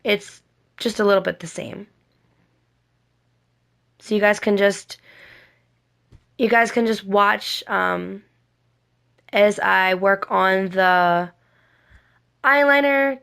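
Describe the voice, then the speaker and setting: Hoarse, slightly raspy woman, rambling casually. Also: mid-range voice, takes it slowly, long pauses, a bit bright. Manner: rambling, repetitive, self-correcting. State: hoarse from a lingering cold. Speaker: cosplay makeup vlogger. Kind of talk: casual makeup tutorial talk.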